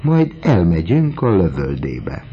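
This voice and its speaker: A male reader voicing a mocking, menacing middle-aged schemer who talks loudly and teasingly. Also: low-pitched, wildly swooping pitch, slight background noise. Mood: smooth. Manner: teasing, loud and sardonic. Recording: dull lo-fi audiobook recording.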